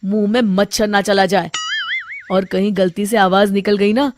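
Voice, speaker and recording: A woman's voice: mid-range voice, fairly even intonation, moderate pace, over music, close to an everyday voice. A woman voicing an earnest, cautious young man in a cartoon story, talking to his friend. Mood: urgent.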